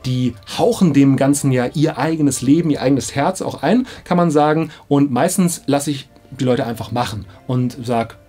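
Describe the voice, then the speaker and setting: A man's voice: mid-range voice, moderate pace, hardly any pauses, warm tone, husky. Enthusiastic slangy man over background music. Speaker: audiobook director. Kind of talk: casual explainer to camera. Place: small indoor studio control room.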